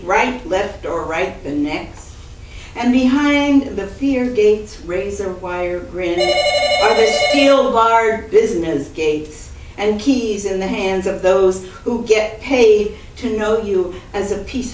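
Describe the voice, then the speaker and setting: A woman's voice: medium pitch, slight background noise. Older woman poet reading, big voice. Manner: chatty asides with laughs between measured poem reading. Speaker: poet and writing teacher. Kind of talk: poetry reading at a book launch. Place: event room with audience. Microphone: distant mic. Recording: roomy echo, voice from far off.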